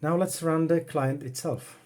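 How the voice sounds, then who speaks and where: Czech-accented man with a mellow voice. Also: a little high, steady tone. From Czech Republic. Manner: unhurried, plain, talks while working the computer. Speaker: retro computing hobbyist. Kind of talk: casual home demo walkthrough. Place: cluttered home workroom.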